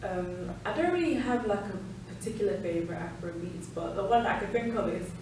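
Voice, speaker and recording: A woman's voice: medium pitch, lively intonation, brisk, a little mellow. A young woman answering interview questions in a chatty way, with lots of "like", in an indoor room. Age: young adult. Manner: chatty, many "like"s. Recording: echoey room, mic far off, noise.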